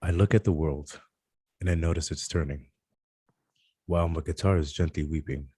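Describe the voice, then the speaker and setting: A man's voice: extremely low pitch, steady tone, normal speed, warm tone, husky, no room sound. A man playing a solemn narrator who recites poetic lyrics in a script reading. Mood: solemn, brooding.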